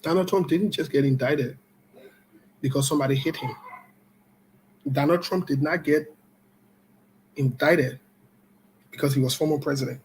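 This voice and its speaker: A man with a slightly higher voice hosts an online political talk show. He talks in a loose, conversational way, answering viewer comments live. He is blunt and combative, jumping from loud scolding to quiet, low-key remarks, with faint ambient noise in the background. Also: moderate pace, pauses often, poor audio.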